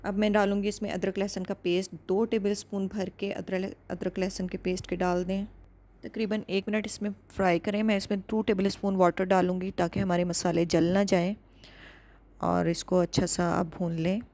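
A woman talks through a recipe step by step, calm and instructive. Her pitch sits in an ordinary middle range, and her steady voice-over pace suits a home kitchen video. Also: normal speed. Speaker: cooking channel host.

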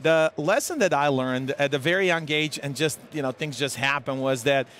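A man in his forties from Serbia with a Serbian accent, speaking haltingly with many fillers as a guest in a live conference interview. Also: fairly high pitch, a bit bright, slight background noise. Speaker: tech executive guest. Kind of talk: live trade-show interview. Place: busy conference hall. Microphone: headset mic. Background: crowd chatter.

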